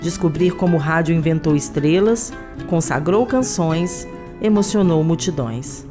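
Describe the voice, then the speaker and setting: A woman's voice: low voice, animated, measured pace, over music. A Brazilian woman reading scripted documentary narration as a podcast narrator.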